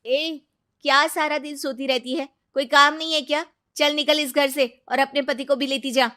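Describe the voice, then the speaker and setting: A woman acting a bossy scheming stepmother, bright-voiced. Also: high-pitched, steady tone, moderate pace, pitched above the performer's own voice. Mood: angry scolding. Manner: scolding, emphatic. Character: Geeta, scheming stepmother, turned harsh and bossy. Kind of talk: moral-story cartoon acting.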